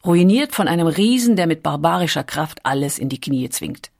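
A woman reading scripted documentary narration with a measured, emphatic storytelling delivery. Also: low-pitched, animated, creaky, a little mellow.

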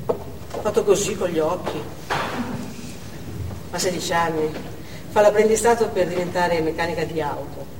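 A woman's voice: on the low side, normal speed, pauses often, lightly acted. Actress as chatty working mother, echoey distant stage. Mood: chatty, fond. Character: working-class Russian bookkeeper, devoted excitable mother. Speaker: stage actor. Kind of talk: theatrical monologue. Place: indoor theatre stage, recorded from afar. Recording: distant, echoey hall with noticeable noise.

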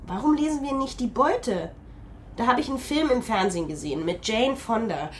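A woman's voice: fairly high pitch, expressive, fairly quick, slight background noise, lightly acted. An actress playing a bored, grumbling teenage schoolgirl in a radio drama, a little off-mic. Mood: bored, sulky.

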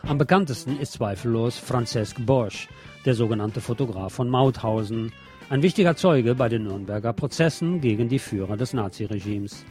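Male magazine presenter, mid-pitched, scripted news narration, music bed underneath. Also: normal speed.

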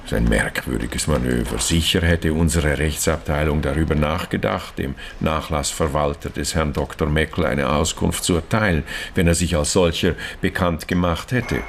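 An actor playing a formal, fussy and discreet Swiss banker in a radio crime drama, talking in careful, hesitant, roundabout sentences. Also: male voice, extremely low pitch, gravelly, slight background noise. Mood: measured, explanatory. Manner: formal, circumlocutory, hesitant with pauses.

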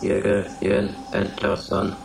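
A man's voice: slow pace, over loud music, natural voice, rough recording. A man playing an unfinished android in a radio drama, repeating words in a stiff, mechanical way. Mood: blank, parroting. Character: unfinished male android parroting what it hears.

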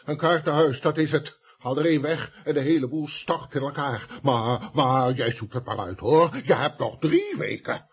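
An actor playing a gruff, sarcastic, impatient office boss in a Dutch radio comedy. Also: male voice, mid-range voice, normal speed, few pauses, close to an everyday voice. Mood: sarcastic, scornful. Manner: sarcastic, blustering.

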